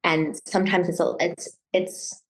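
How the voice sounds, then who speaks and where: Soft-spoken woman, hesitant and low. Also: level tone, measured pace, rough recording. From Taiwan. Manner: hesitant, many restarts and fillers. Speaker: interview guest. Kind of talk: casual conversational interview.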